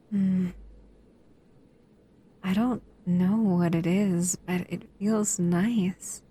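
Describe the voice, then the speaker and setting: Woman playing slow, soft-spoken, possessive monster lady. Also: medium pitch, slightly rough. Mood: curious, gentle. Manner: soft, soothing, hesitant, often dropping into whispers. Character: powerful shadow-wielding female monster, possessive yet tenderly protective. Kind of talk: intimate scripted roleplay audio addressed to the listener.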